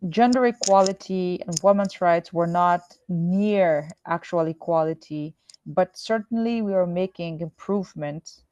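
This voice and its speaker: A woman from Afghanistan, answering interview questions in a measured, sincere way with frequent pauses. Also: fairly low pitch, a little mellow, dry recording, poor audio. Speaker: guest, former Olympian and human rights activist. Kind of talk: earnest conversational interview answers.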